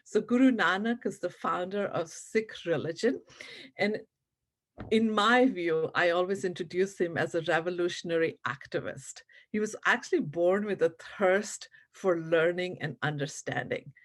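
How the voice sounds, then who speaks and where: A woman's voice: on the low side, moderate pace. A woman from India, a native Punjabi speaker, giving an online presentation to teachers in an earnest, explanatory way, with many fillers and "right?" checks. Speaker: educator presenting on Sikhism. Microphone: video call.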